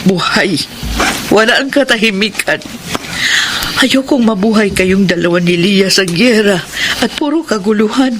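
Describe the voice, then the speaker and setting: A woman's voice: medium pitch, a little slow, noisy background, close to an everyday voice. A woman playing an emotional, protective mother and wife in a melodramatic radio drama. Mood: weary, reassuring. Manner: emotional, pleading. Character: anguished, protective young mother and wife in wartime. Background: music.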